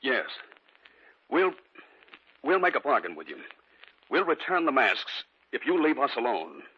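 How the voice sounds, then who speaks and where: A man's voice: medium pitch, sing-song, measured pace, husky. An actor playing a tense, earnest husband who narrates his ordeal in an old radio drama. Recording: dull old radio recording with music.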